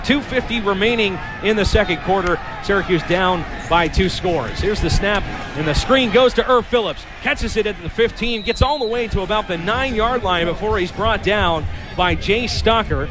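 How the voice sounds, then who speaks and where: High-voiced American man calling the game. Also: normal speed. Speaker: radio play-by-play sportscaster. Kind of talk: live sports commentary.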